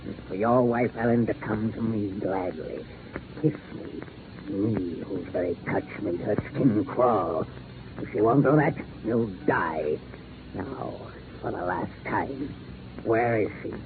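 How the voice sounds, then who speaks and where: Deep-voiced menacing gangster villain. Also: male voice. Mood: bitter, menacing. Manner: menacing, gloating, deliberate. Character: squat frog-faced gangster villain, obsessed and vengeful. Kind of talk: 1940s radio acting. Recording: noisy narrow-band 1940s radio recording.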